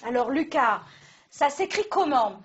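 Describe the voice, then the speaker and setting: Woman interviewer, high voice, asking gentle questions, lo-fi sound. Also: very animated, measured pace.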